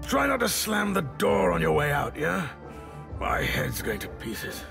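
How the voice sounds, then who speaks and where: A man's voice: a little high, sing-song, measured pace, exaggerated voice. An actor playing a surly, hungover drunk man who has been squatting in an inn room, grumbling in a belligerent slur. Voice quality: gravelly. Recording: game soundtrack under the voice. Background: music.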